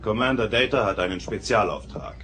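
A man's voice: fairly low pitch, expressive, fairly quick, a bit bright, soft background music. An actor playing a matter-of-fact, formal male crewman in a German sci-fi audio drama.

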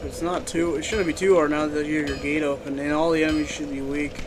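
Higher-voiced young man gaming, easygoing. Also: level tone, quick pace, background noise. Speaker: gamer recording a let's play. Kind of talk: casual gaming chat. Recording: clear game music behind voice. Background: game music, metal clinking.

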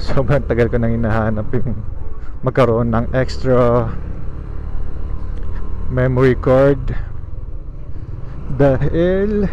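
A young man with a Filipino accent, chatting casually as a motovlogger while riding his motorcycle outdoors, relaxed and chatty. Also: mid-range voice, highs cut off, slight background noise. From Philippines. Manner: relaxed, chatty, chuckles now and then. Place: outdoors on a motorcycle, city streets at dusk. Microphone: phone used as a sound recorder.

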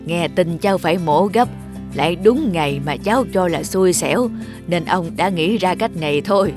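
A man's voice: high-pitched, expressive, moderate pace. An actor playing a kindly, reassuring old grandfather in a cartoon dub. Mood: gentle, wise. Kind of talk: cartoon dubbing. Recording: music behind the voice.

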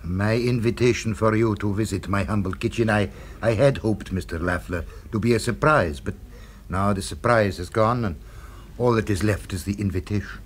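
Man acting smooth formal restaurant owner guy, lowish voice, old radio play with noise behind. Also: lightly acted. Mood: courteous, smooth. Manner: formal, measured, ingratiating speech. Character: suave, courteous male restaurateur, commanding with staff. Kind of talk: 1970s radio drama acting. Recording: noisy 1970s radio drama recording.

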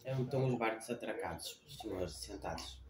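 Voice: mid-range voice, a little fast, over a noisy crowd. A person with a European Portuguese accent chatting casually at the table, picked up by a phone across it. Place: home dining room, indoors. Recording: roomy, noisy phone recording.

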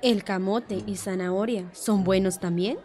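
A woman's voice: mid-range voice, fairly even intonation, unhurried, a little mellow, lightly acted. An actress playing an earnest, respectful young village mother in a radio soap, with a Guatemalan accent. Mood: hopeful. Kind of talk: radio soap opera acting.

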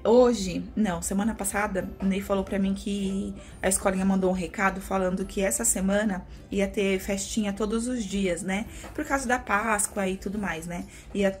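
A young woman with a Brazilian accent chatting casually to the camera as a vlogger, addressing viewers with pet names. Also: mid-range voice. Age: young adult. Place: small rooms at home, indoors. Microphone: phone at arm's length. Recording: noisy handheld home recording.

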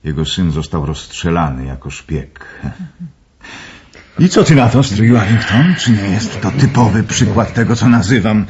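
Husky, brooding young gentleman. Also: on the low side, expressive, unhurried, dull audio, exaggerated voice. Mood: earnest. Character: earnest, brooding young gentleman telling of danger. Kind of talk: radio drama acting.

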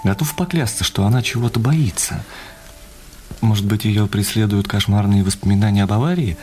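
A man acting in a radio play as a puzzled, considerate doctor, giving advice in a measured way. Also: on the low side, a little mellow, slight background noise, lightly acted. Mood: puzzled, advising. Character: puzzled, considerate male doctor advising a husband.

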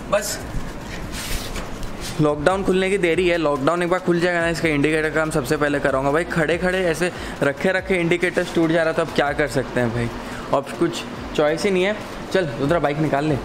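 A young man with an Indian accent chatting casually as a motorbike vlogger, mixing in English words, on a handheld camera outdoors. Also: a little high. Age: young adult. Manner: mixes English words into Hindi. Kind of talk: casual vlog chat. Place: covered open-air home driveway. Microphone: handheld camera at arm's length. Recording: loud outdoor street noise.

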